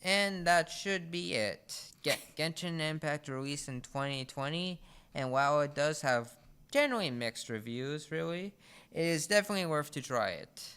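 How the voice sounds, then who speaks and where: Halting teenage guy, smooth clear voice. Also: on the high side, animated, fairly bright tone. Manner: halting, restarts words often. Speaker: podcast host. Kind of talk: casual chat.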